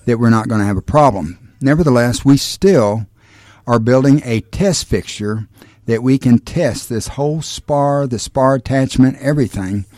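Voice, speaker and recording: A man giving a measured technical explanation in an interview, stressing the figures. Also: mid-range voice, darkish tone, no room sound, clean studio sound. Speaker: aircraft designer being interviewed.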